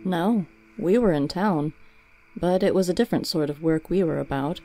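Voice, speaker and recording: A woman voicing a gruff, watchful male dog familiar in a storybook reading, terse and deliberate. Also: fairly low pitch, dark tone, natural voice. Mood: watchful, matter-of-fact.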